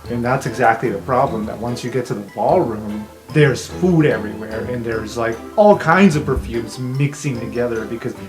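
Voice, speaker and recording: Man, game master, podcast host, mid-range pitch, improvised narration, music bed. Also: normal speed, few pauses. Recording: music playing behind voice.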